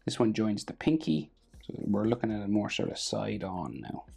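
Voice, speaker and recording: An Irish man with a gravelly, husky voice, casually talking through a drawing lesson step by step and trailing off quietly as he works. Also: on the low side, normal speed. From Ireland. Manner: relaxed, thinking aloud while drawing, trailing off quietly. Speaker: drawing tutor.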